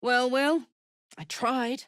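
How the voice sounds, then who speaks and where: A woman playing a brash, warm-hearted tough warrior in a video game, blunt and sweary with a casual swagger and earnest feeling. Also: sits high, fairly even intonation, slow pace, dry recording, studio quality. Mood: casual, teasing. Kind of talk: video game character voice lines.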